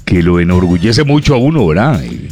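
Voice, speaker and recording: A man hosting a radio comedy show, chatting in a lively way and reading out listener greetings. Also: low-pitched, fairly quick, soft background music. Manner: lively and chatty, breaks off and repeats words.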